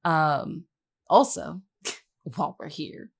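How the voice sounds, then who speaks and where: A woman's voice: low-pitched, takes it slowly, creaky, slightly rough. A woman chatting casually as a podcast guest, rambling a little as she thinks aloud. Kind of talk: casual chat.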